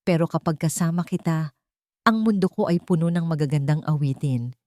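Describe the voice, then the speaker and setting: A man's voice: a little high, normal speed, dark tone, no echo, clean studio sound, lightly acted. A woman voicing a devoted young man in a romantic story, speaking tenderly and earnestly. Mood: earnest, loving. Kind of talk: romantic audio story dialogue.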